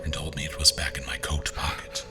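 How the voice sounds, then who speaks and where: An actor playing a brooding, suspicious adventurer, narrating his story in a measured, dramatic way. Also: very deep voice, monotone, in a whisper, over music. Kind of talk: scripted first-person story narration.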